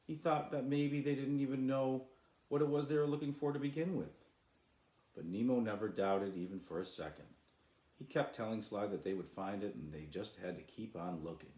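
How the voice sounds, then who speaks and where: A man's voice: on the low side, moderate pace, mellow, echoey room, poor audio. A man who is an author, reading and introducing his own children's story as a storyteller. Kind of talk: storybook narration.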